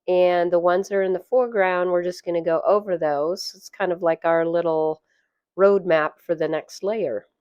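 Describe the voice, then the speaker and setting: A woman narrating a watercolor painting tutorial, hoarse and losing her voice, soft-spoken and chatty. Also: lowish voice, dry recording, clean studio sound. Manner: soft-spoken, chatty, with fillers and light laughs. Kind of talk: relaxed voice-over tutorial.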